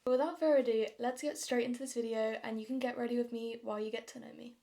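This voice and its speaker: A young woman of nineteen from London speaks with a southern English accent in a fairly high voice. She talks to her viewers like a vlogger, informal and chatty, with a self-deprecating way about her. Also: fairly even intonation, fast, mellow. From London, England. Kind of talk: casual get-ready-with-me chat to viewers.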